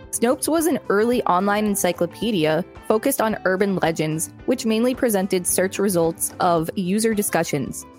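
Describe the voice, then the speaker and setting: A woman's voice: medium pitch, a little mellow. A woman co-hosting a podcast and reading an encyclopedia article aloud. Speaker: podcast co-host.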